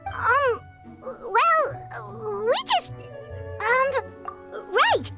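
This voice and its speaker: A woman voicing a small, curious, childlike fairy companion in a video game, squeaky, perky and blunt. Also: expressive, drawn-out words, loud music behind the voice, exaggerated voice. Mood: flustered, probing. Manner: perky, refers to self in third person. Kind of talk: video game character acting.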